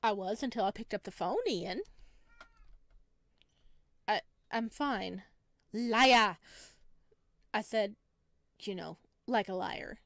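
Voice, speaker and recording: A woman's voice: medium pitch, slow pace, creaky, long pauses, a bit bright, no echo. A woman streamer reading a visual novel aloud expressively and dramatically, adding her own commentary, close to the microphone.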